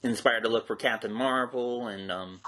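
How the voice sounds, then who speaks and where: A man's voice: mid-range voice, moderate pace, background noise. A man hosting a casual podcast interview, speaking politely with tentative, self-correcting phrasing.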